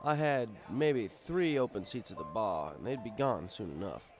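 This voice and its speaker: A man's voice: fairly low pitch, lively intonation, normal speed, music in the background, lightly acted. A man playing an easygoing, fatherly pony bartender who tells his story in a wry, conversational way. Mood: wry, settled. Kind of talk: first-person audiobook narration in character.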